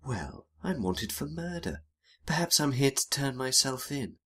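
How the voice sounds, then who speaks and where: Guy doing a teasing lady outlaw from a noir radio play, husky n coy, takes her time. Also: medium pitch, very animated, a little slow, fairly bright tone, voice pushed up, exaggerated voice. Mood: playful. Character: sultry, teasing female outlaw femme fatale. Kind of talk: noir detective audio drama.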